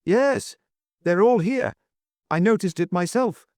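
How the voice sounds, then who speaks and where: A man voicing an eager, excitable young duke in a storybook, speaking with insistence. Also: medium pitch, fast, no room sound, studio quality, voice pushed up, lightly acted.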